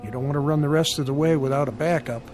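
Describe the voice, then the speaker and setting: An actor playing an easygoing, helpful small-town male mechanic in a radio drama, with a folksy, casual, unhurried way of talking. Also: a little high, a little mellow, soft background music, natural voice.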